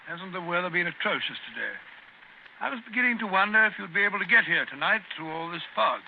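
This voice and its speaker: A genial, middle-aged English doctor in a vintage radio play. His voice sits high, and he talks in a chatty, faintly fussy way with small hesitations. The sound is thin and tinny like a telephone. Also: expressive, fast talker, creaky. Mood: genial, reminiscing. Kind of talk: old-time radio drama acting. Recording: tinny, noisy old radio recording.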